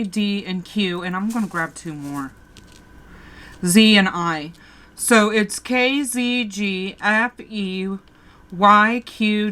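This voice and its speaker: A woman's voice: medium pitch, pushed and bright, clean tone. A woman with a sore throat giving a casual tarot reading, talking straight to her viewers and often restarting her sentences. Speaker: tarot reader.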